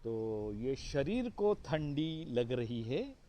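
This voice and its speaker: A man giving a spiritual discourse with a hoarse throat, asking questions and then answering them himself. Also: mid-range voice, big pitch swings, extremely slow, warm tone. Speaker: spiritual teacher.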